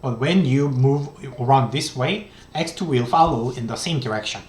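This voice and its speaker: A man in his thirties, a native Korean speaker with a Korean accent, lecturing on economics in a halting, step-by-step way with many restarts. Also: medium pitch, reverberant. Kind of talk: recorded online lecture. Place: small room indoors.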